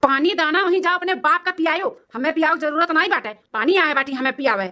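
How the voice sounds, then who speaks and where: A woman's voice: sits high, quick pace, pushed and bright. A woman voicing a hot-tempered, domineering cartoon husband with an Awadhi accent, in a blustering manner. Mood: angry scolding. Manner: scolding, blustering. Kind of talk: amateur cartoon dialogue.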